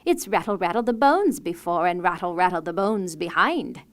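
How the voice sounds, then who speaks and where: A harsh, greedy stepmother snaps and scolds at full volume, her voice shrill and spiteful, as a woman storyteller puts her on. It is a storybook villain played broadly. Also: lively intonation, normal speed, close to an everyday voice. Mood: scolding. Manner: loud, scolding.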